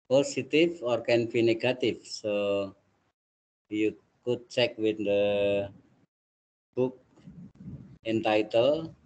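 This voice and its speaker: Indonesian-speaking guy lecturing over a video call, really slow, lots of uhs and restarts. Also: on the low side, a little mellow, low-quality recording. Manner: slow, halting, with frequent fillers and restarts. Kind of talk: online class lecture.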